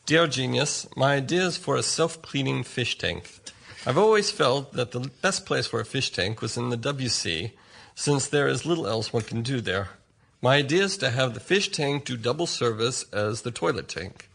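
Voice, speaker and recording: An American man pitching an idea as a comedy show contestant, with a deadpan delivery. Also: normal speed, vocal fry. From United States.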